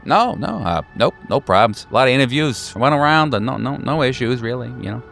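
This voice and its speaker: A man's voice: medium pitch, expressive, a little fast, gravelly, fairly bright tone, faint music behind. A man voicing a jovial, eager-to-please police detective who rambles, repeats himself and chuckles, in a tabletop roleplaying game. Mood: evasive, rambling.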